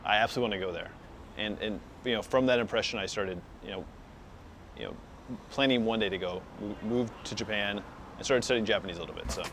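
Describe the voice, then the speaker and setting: A man's voice: on the low side, fast, a bit bright, a little hiss or hum. A young man from Nebraska chatting casually in an interview, with lots of likes and you knows. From Nebraska, USA. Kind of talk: interview answers.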